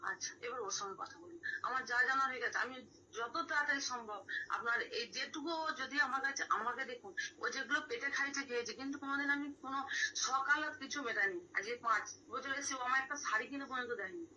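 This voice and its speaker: A woman's voice: sits high, a little fast, sharp tone, slight background noise. A woman pleading insistently and repeating herself in an emotional argument on a phone call recording. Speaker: caller confronting another woman.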